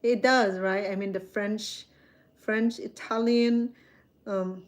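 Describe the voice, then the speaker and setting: A woman's voice: medium pitch, steady tone, takes it slowly. Older woman, a chef streaming live to her viewers from a phone; chatty and rambling, often restarting her sentences. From Cambodia. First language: Khmer. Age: 60s. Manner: chatty, rambling, frequent self-corrections.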